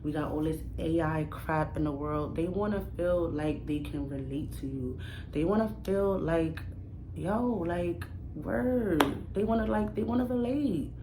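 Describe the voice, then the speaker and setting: A young woman with a low voice and a New York accent talks straight to her camera in a casual vlog. She is emphatic and direct, with plenty of slang, and she keeps circling back to the same points. Steady background noise sits under her voice. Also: measured pace. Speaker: new YouTuber.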